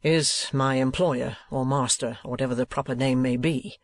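Performer acting earnest, well-spoken young gentleman. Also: medium pitch, fairly even intonation, close to an everyday voice. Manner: quick, well-spoken, sincere. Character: earnest, polite young gentleman, warm-hearted brother.

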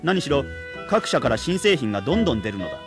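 A male actor playing a jokey, excitable salaryman in a Japanese radio comedy drama, given to clowning and puns. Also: mid-range voice, fast, music in the background, lightly acted. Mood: reflective narration. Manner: clowning, breaks into puns and bits of song. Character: jokey, excitable salaryman, cheerful punster and father.

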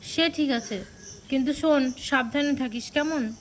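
A woman acting as a practical village mother in a cartoon. Her voice is high and homely as she instructs with care, over faint insect noise. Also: unhurried. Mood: caring, brisk. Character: caring, practical village mother of grown son. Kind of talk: scripted cartoon dialogue.

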